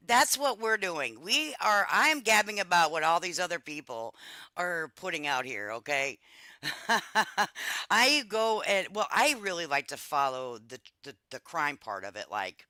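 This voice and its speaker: A woman with a cold hosts a casual livestream. Her voice comes through bright and forward despite the congestion, a little raspier than usual, on a close, dry recording with no room sound. She chats easily with her viewers as friends. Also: deep voice, dry recording. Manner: chatty, addresses viewers as friends. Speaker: livestream host. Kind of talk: casual reaction livestream chat.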